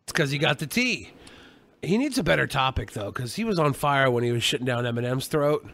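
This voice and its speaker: A man's voice: medium pitch, animated, brisk, gravelly, fairly bright tone. A man co-hosting a podcast with casual, profane, mocking commentary. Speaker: podcast co-host.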